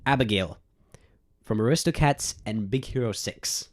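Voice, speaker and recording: A man's voice: medium pitch, a little slow. A nineteen-year-old man chatting casually on a podcast, rambling through nerdy trivia. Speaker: podcast co-host. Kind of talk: casual chat.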